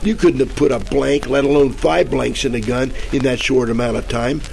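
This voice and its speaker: A man narrating and playing a wisecracking 1940s private eye in a parody radio detective drama, deadpan tough-guy delivery. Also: mid-range voice, moderate pace, creaky. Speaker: narrator and lead voice actor. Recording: music bed behind voice.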